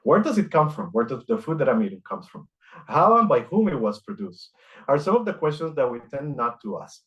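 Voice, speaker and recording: Male lecturer, middle-aged, from Costa Rica; mid-pitched, measured delivery read from notes, frequent "uh". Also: big pitch swings, a bit bright, lo-fi sound. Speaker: guest lecturer. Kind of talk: academic lecture.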